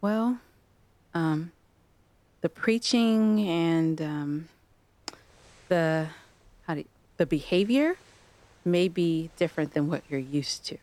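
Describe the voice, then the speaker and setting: Lady playing a polite careful wife in a radio play, lowish soft voice, hesitant. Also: expressive, slow, pauses often, no room sound. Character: polite, cautious young wife preparing her partner.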